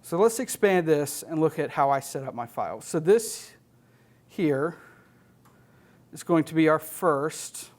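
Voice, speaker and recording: An American man in his thirties presenting as an audio technician, explaining gear and software in a plain-spoken, matter-of-fact way. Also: on the high side, expressive, a little slow, pauses often. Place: indoor studio stage with LED wall.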